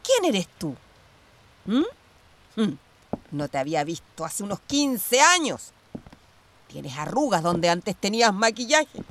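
A woman's voice: mid-range voice, sing-song, takes it slowly, long pauses, a bit bright, slight background noise, full character voice. A woman playing an older, imperious, paranoid ex police commander in a radio play, cold and sarcastic, with a Chilean accent. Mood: scornful. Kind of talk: Spanish-language radio theatre acting.

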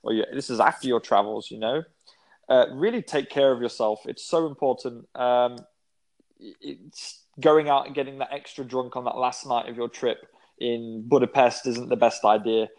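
A man in his twenties chats as an interview guest. His pitch sits in an ordinary middle range. He rambles, with lots of ums, uhs and restarts. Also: fairly even intonation, normal speed, darkish tone. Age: 20s. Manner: rambling, many fillers and restarts. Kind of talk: casual interview chat.

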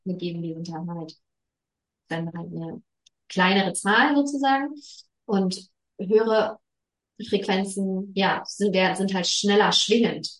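A woman hosting a casual podcast conversation, enthusiastic and halting with many restarts. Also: medium pitch. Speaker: podcast host. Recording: roomy echo.

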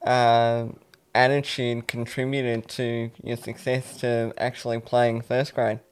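A man co-hosting a podcast, asking measured questions. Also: medium pitch, level tone, clear, resonant tone. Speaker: podcast co-host. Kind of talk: casual podcast interview.

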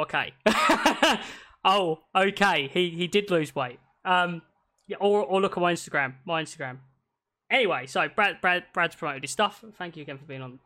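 A man's voice: sits high, bright, forceful tone. A man hosting a casual podcast from home over a video call, rambling and swearing freely with lots of restarts. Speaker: podcast host.

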